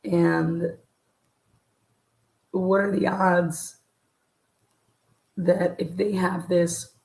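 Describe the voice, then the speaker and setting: Very raspy, low-voiced woman hosting. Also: roomy sound, low-quality recording. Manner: halting, frequent throat clearing. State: voice worn out from overuse. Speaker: host. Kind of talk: casual livestream case commentary.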